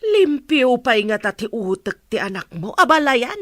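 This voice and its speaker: A woman's voice: fairly high pitch, sing-song, unhurried, fairly bright tone, dry recording, clean studio sound. A woman from the Philippines voicing a worried, talkative older in-law in an audio drama. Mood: concerned. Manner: concerned, talkative.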